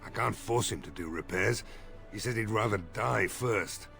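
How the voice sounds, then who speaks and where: Worried fantasy village mayor, gravelly and low-pitched, faint game music under him. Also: male voice, moderate pace, sharp tone. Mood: weary. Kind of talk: video game voice acting.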